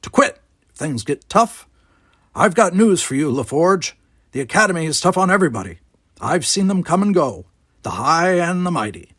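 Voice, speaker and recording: A grumpy little old gardener, played by a man reading a storybook aloud, speaks in a raised pitch. He is nosy and blunt, barking his words out with heavy emphasis. The sound is muffled in clarity and very close and dry. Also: big pitch swings, moderate pace, a bit bright, no room sound. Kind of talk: children's novel read-aloud character voice.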